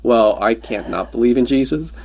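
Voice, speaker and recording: A man's voice: mid-range voice, expressive, normal speed, gravelly, darkish tone, slight background noise. A man from Pennsylvania whose first language was Pennsylvania Dutch, a podcast guest telling rambling, joking stories about himself. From Pennsylvania, USA. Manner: joking, rambling anecdotes with self-deprecating humor. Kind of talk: casual conversational storytelling.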